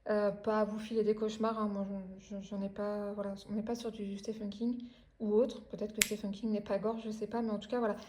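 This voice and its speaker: A woman's voice: medium pitch, flat delivery, fairly quick, warm tone, a little hiss or hum. A French woman in her thirties chatting casually about her reading, with lots of hesitations and restarts. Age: 30s. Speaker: craft and book vlogger. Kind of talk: casual chat about books.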